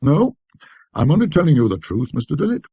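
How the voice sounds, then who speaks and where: A man's voice: a little high, very animated, brisk, vocal fry, warm tone, slightly rough, no room sound, muffled recording, pitched above the performer's own voice, full character voice. A man voicing a shrewd, deferential antique dealer with folksy, ungrammatical sales patter, in a storybook reading. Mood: persuasive, earnest.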